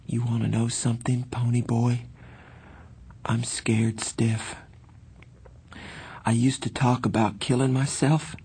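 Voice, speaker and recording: Man voicing a weak, frightened teenage boy, higher-pitched. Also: darkish tone. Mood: scared, despairing. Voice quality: weak, breathy, strained. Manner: halting, drawling working-class slang. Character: badly injured frightened sixteen-year-old street boy, soft-spoken.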